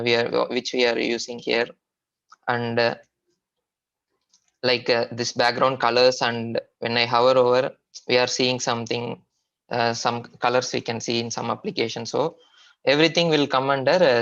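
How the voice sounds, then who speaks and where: A man with an Indian accent teaches a technical class in a medium-pitched voice. He explains informally, often hesitating and repeating himself as he goes. The audio is dull and low in fidelity, like an online training recording. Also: level tone, vocal fry, fairly bright tone. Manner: halting, repetitive explaining with frequent hesitations. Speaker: software trainer.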